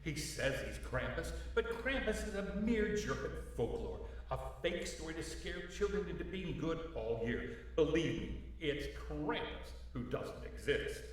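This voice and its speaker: A man's voice: fairly high pitch, very animated, a little slow, distant mic. A man playing an earnest, heroic old Santa Claus in a comedic audio drama, with a dramatic, declamatory delivery. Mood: indignant, secretive. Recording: very echoey room, slightly off mic.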